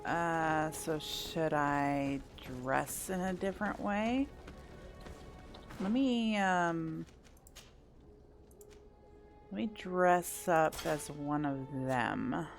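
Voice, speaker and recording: A woman's voice: low voice, extremely slow, creaky, long pauses, faint music behind. A woman doing casual let's play commentary, thinking aloud in short musing bursts. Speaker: gaming video creator.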